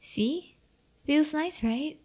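A woman's voice: sits high, background noise. A woman playing a playful, crafty but affectionate teenage delinquent girlfriend in an intimate roleplay audio, teasing and coaxing. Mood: reassuring. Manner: teasing, coaxing, drawn-out delivery.

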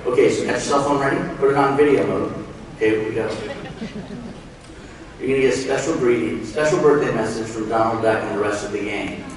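Male stage host, middle-aged, informal, medium pitch, distant pickup with audible crowd. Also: fast. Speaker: panel moderator. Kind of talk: informal live stage hosting. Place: large convention stage. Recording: echoey hall from afar with crowd.

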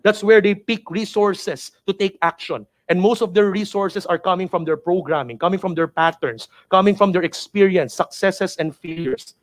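A man's voice: high voice, moderate pace, hardly any pauses. A Filipino man in his thirties with a Filipino accent, a native Tagalog speaker, giving a motivational training talk in an emphatic, repetitive, urging manner. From Philippines. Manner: emphatic, repetitive, preacher-like urging with rhetorical questions. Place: indoor studio with virtual backdrop. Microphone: headset mic.

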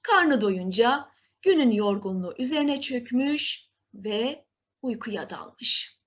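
A woman narrating a children's fairy tale in an expressive, dramatic and deliberate storytelling manner. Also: a little high, muffled recording.

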